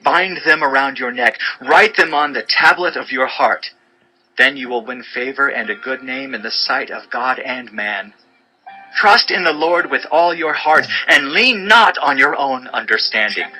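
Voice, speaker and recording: A man narrating a dramatized audio Bible in a solemn, measured, earnest manner. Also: mid-range voice, lively intonation, pushed and bright, rough recording. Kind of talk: dramatized scripture reading. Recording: background music under voice.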